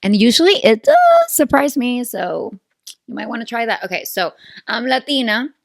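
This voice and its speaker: A woman's voice: fairly high pitch, lively intonation, moderate pace, vocal fry, no echo. Woman in her thirties, Venezuelan accent, native Spanish speaker, chatty podcast host, playful asides, dry close sound. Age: 30s. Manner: chatty, playful, self-aware, often asks "right?". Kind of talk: casual solo podcast chat.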